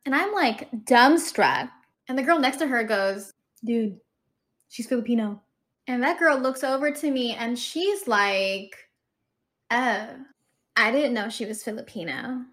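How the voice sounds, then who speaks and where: A woman from the United States telling a school anecdote in a quick, animated way. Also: fairly high pitch. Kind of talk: casual storytelling clip.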